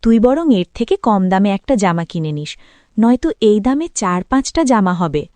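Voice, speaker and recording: A woman's voice: medium pitch, very animated, normal speed, warm tone, dry recording, close to an everyday voice. A woman playing a careworn, practical mother of a poor family in a cartoon, worried and scolding. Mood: disapproving. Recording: background music under the voice.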